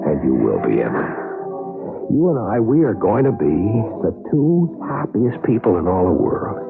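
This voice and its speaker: A reassuring American newlywed husband in an old radio play. His low voice swings dramatically from soft coaxing to emphatic devotion. The recording is dull, with music behind. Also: huge pitch swings, moderate pace, creaky, heavily acted. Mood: tender, reassuring. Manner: tender, coaxing, emphatic and theatrical. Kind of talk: 1970s radio drama acting.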